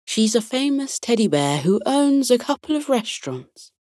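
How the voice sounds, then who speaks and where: A woman voicing a kindly male teddy bear sea captain with a deep, calming, slow and courteous way of talking. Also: no echo, clean studio sound. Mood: inviting, unhurried.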